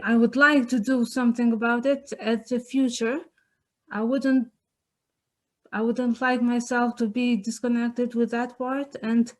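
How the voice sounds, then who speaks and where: High, flat-toned young Kosovan woman. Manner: earnest, searching for words. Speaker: playwright on a panel. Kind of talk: unscripted panel answer. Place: online webinar.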